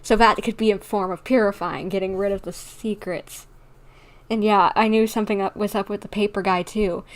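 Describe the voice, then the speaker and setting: A woman's voice: medium pitch, steady tone, moderate pace, low-quality recording. A woman livestreamer giving casual game commentary, reflecting and thinking aloud.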